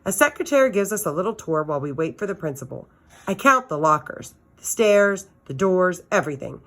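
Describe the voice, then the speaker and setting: A woman's voice: on the low side, sing-song, brisk, a bit bright. A woman reading a children's novel aloud as a teacher does for students, with expression.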